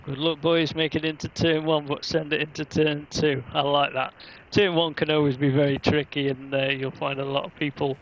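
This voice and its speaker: A man's voice: a little high, flat delivery, normal speed, few pauses, slight background noise, poor audio. A man commentating casually on an online racing livestream, rambling along in an easygoing, hesitant way.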